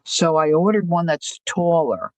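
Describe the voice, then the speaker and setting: A woman's voice: sits low, lively intonation, measured pace, a little mellow, no echo, low-quality recording. An older woman hosting a livestream, chatting casually and rambling through a story. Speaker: livestream host. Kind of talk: casual chat.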